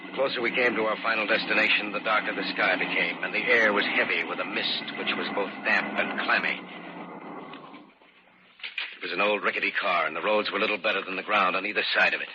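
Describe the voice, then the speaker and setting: An actor as a low-voiced man narrating an eerie radio tale. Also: close to an everyday voice. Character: steady, concerned man narrating his eerie adventure. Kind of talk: old-time radio drama acting with first-person narration. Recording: dull, noisy old radio recording.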